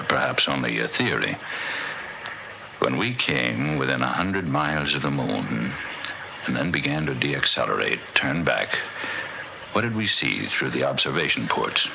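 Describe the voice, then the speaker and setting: An actor playing an older, haunted, philosophical scientist in a 1960s radio drama, gravelly and portentous in delivery. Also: male voice, extremely low pitch, bright, forceful tone, exaggerated voice. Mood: earnest, insistent. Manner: portentous, deliberate, dramatic storytelling. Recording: dull, noisy old radio recording.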